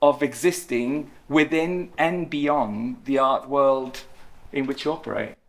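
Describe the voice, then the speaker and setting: A man's voice: mid-range voice, slow. A man speaking on a symposium panel, reflective and stop-start, often repeating his words. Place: event hall with audience.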